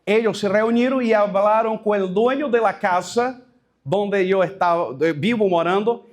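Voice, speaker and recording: A pastor with a noticeably high voice speaks Spanish with a Brazilian Portuguese accent. He tells a personal testimony to a room of church leaders, halting and restarting and slipping in Portuguese words.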